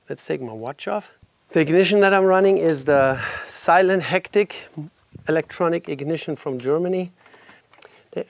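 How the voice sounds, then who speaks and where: A man's voice: mid-range voice, vocal fry, slight background noise. A middle-aged man with a congested voice from a cold, casually explaining motorcycle work step by step while he does it in his home garage. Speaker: garage video host. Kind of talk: casual workshop walkthrough.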